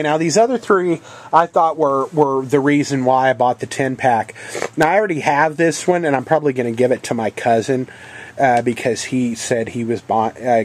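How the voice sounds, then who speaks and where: A man's voice: mid-range voice, darkish tone. A man with a head cold, sounding congested and coughing now and then, rambling casually through a vlog with many ums and uhs. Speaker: channel host. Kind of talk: casual video game haul vlog.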